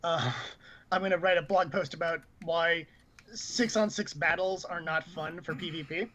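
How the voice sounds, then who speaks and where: A man's voice: on the high side, normal speed, pushed and bright, lo-fi sound, a little hiss or hum. A man chatting casually and at length as a gaming podcast co-host, full of opinions. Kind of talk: casual panel chat about video games.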